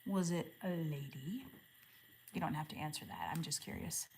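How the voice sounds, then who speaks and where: A woman in her forties chatting casually with viewers on a livestream, asking friendly questions and murmuring softly when she reads comments. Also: deep voice, moderate pace, a little hiss or hum. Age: 40s. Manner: drops to a soft murmur when reading comments aloud. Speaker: live streamer.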